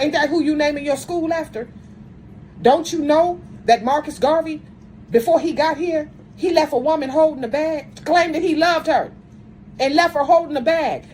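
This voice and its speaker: A fairly high-pitched woman delivers a heated rant into the camera. She is blunt and confrontational, repeating herself and tripping over restarts as she presses her point. A faint hiss of noise sits under her voice. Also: a little mellow. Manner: says she has a strong voice. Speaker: video commentator. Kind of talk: angry rant addressed to camera.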